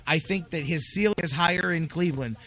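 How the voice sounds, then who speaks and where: Bright, forceful male sports radio host. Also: on the high side, fast talker. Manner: emphatic, insistent, repeats his points. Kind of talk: opinionated sports talk with callers.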